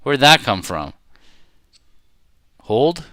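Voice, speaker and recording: A middle-aged man giving casual live commentary as he plays a card game, rambling and halting as he thinks aloud. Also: mid-range voice, very animated, sharp tone, no echo, clean studio sound. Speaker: bridge player commentating while playing.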